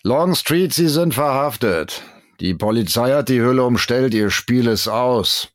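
A man voicing a sluggish, stolid police inspector with a slow, drawn-out delivery. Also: medium pitch, no echo, studio quality, voice pushed up, close to an everyday voice. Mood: stern, stolid.

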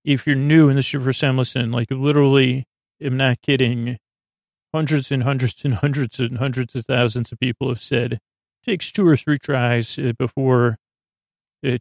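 A man hosting a bedtime podcast in a rambling monologue, halting and often losing his thread, silly and reassuring. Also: mid-range voice, flat delivery, vocal fry, no echo, studio quality. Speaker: podcast host.

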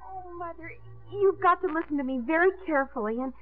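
An actress playing a naive, lovestruck teenage daughter in a breathless, earnest 1950s radio comedy-drama style. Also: female voice, very high-pitched, brisk, soft background music. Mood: gushing, lovestruck. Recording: muffled narrow-band 1950s radio recording.

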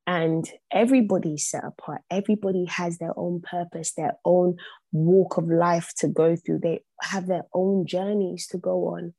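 A woman's voice: on the low side, fairly even intonation, moderate pace, dark tone, no echo. A young woman, a podcast guest whose first language is not English, speaking earnestly and repeating phrases for emphasis. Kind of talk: reflective podcast conversation.

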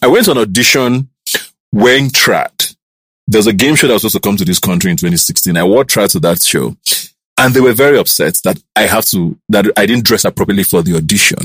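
A man in his thirties with a Nigerian accent, a TV host telling his career story in a relaxed interview, lively when he acts out what others said. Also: a little fast, husky, no room sound. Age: 30s. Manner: animated, jumps up in pitch to act out quoted voices.

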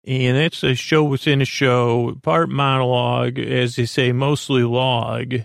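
Guy with a creaky gravelly voice doing a sleepy bedtime podcast, rambles and stumbles along, soothing, really dry close sound. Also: mid-range voice, little pitch movement, dry recording. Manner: halting, meandering, self-deprecating; lulling, soothing. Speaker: podcast host.

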